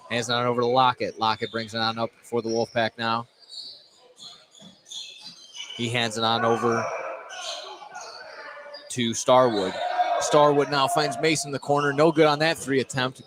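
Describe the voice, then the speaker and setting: A man does live basketball play-by-play at a mid pitch, over clear background noise. He often trips over his words and corrects himself as he follows the action. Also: level tone, normal speed. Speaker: play-by-play sports announcer. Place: indoor basketball game, courtside broadcast.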